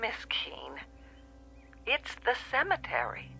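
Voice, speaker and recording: A woman's voice: a little high, wildly swooping pitch, slow, vocal fry, sharp tone, slightly rough, full character voice. An actress playing a courteous female telephone company representative in a radio drama, official, reassuring and firm, heard through a telephone. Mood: firm, grave.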